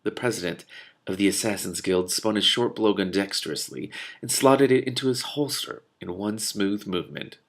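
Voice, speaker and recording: A man hosts a read-aloud in a low-pitched voice with heavy vocal fry. He reads expressively and drops out of the story into casual, amused commentary. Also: normal speed. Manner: amused, breaking off to comment and laugh. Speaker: host reading a novel aloud with commentary. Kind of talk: expressive book reading with casual reactions.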